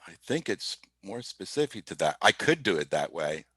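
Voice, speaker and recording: A man explaining software informally as an interview guest, methodical and somewhat halting in his delivery. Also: low-pitched, very animated, slightly rough, no room sound, studio quality. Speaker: podcast guest, IT specialist. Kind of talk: informal software demo talk.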